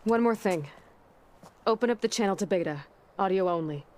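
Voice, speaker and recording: A woman's voice: medium pitch, normal speed, a little mellow, close to an everyday voice. A woman playing a determined young warrior in a video game, terse and commanding as she leads a rescue mission.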